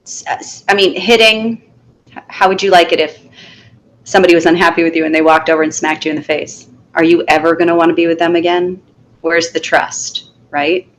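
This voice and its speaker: Firm, lively low-voiced woman teacher. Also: a little fast, slight background noise, low-quality recording. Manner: firm, animated, acts out classroom lines. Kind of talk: conversational interview.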